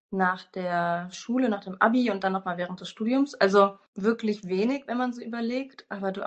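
A young woman from Germany giving a reflective personal account, often pausing to search for words. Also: medium pitch. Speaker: interviewee.

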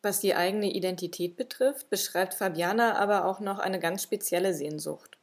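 A woman's voice: mid-range voice, normal speed. A woman reporter reading scripted narration between interview clips. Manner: clear read-out links.

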